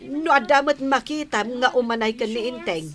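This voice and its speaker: A woman's voice: on the high side, moderate pace, lightly acted. A woman playing a chatty village seller in an Ilocano radio comedy, drawling and persuasive, with an Ilocano accent. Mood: sales patter. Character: chatty, coaxing village woman selling secondhand goods. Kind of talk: Filipino radio comedy drama acting.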